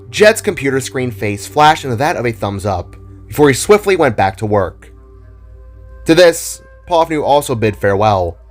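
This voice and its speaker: A man reading scripted fantasy story narration as the narrator of an audio drama. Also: medium pitch. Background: music.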